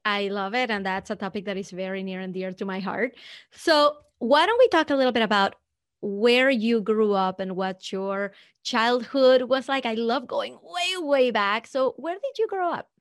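A woman's voice: a little high, normal speed. A woman hosting a business podcast, reading an upbeat scripted intro and then interviewing a guest with enthusiasm. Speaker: podcast host and business coach.

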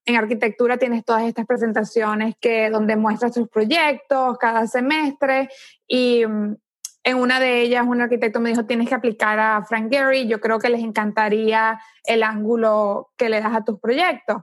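A woman in her thirties from Venezuela, with a Venezuelan Spanish accent, telling her story as an interview guest and pausing with "eh" and "¿no?" tags. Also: on the high side, little pitch movement, dry recording. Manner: thoughtful storyteller, frequent "¿no?" tags and "eh" pauses. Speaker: tech startup founder, guest. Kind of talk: conversational interview answers.

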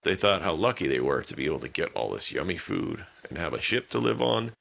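A man's voice: low voice, very animated, brisk, creaky, a bit bright, lo-fi sound. A man telling an improvised bedtime story for children, using simple, repetitive wording. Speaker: children's story podcast narrator.

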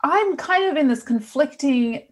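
A woman's voice: high-pitched, expressive, measured pace. A woman from New York with a transatlantic New York accent, a podcast guest who is upbeat and laughs often. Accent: New York American, transatlantic. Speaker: guest, business owner and coach. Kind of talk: casual podcast interview.

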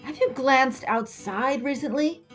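A woman voicing a condescending, scolding grandmother in a story, brisk and unhelpful. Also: sits high, breathy edge, faint music behind, pitched above the performer's own voice.

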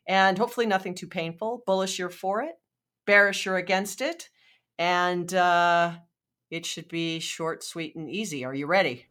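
Chatty woman podcast host, lowish voice. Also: moderate pace, fairly bright tone. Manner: chatty, many fillers and restarts. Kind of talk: podcast interview.

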